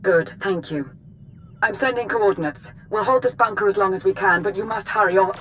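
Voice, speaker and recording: A woman's voice: medium pitch, a little fast, noisy background, close to an everyday voice. An actress playing a cool, commanding female Sith intelligence minister in a video game, clipped and authoritative. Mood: tense, resolute. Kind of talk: video game cutscene acting.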